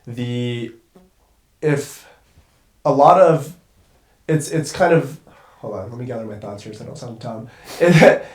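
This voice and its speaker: A man's voice: medium pitch, measured pace, long pauses, darkish tone. A young man with a congested voice talks casually as a guest, rambling, restarting his sentences and swearing freely. The sound carries a roomy echo around him. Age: young adult. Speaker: guest cinematographer. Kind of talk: casual podcast conversation.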